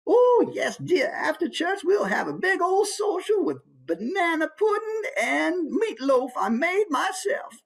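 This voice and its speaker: A man's voice: very high voice, sing-song, unhurried, creaky, hardly any pauses, voice pushed up, exaggerated voice. A man voicing a sweet, chatty older church-going lady, proud and folksy, in a live character demonstration.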